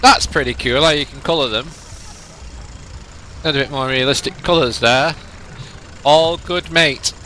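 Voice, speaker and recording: A man's voice: mid-range voice, unhurried, pauses often, bright, forceful tone, a little hiss or hum, low-quality recording. A young man from northern England with a Lancashire accent, streaming a ride simulator game and chatting casually with viewers, sounding a little nasal from a cold. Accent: northern English, Lancashire. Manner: chatty and rambling, reads chat aloud.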